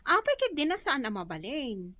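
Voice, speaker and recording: A woman's voice: high-pitched, huge pitch swings, normal speed, pushed and bright, no room sound, full character voice. A woman playing a put-upon, generous sister-in-law in a comedic radio drama. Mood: friendly.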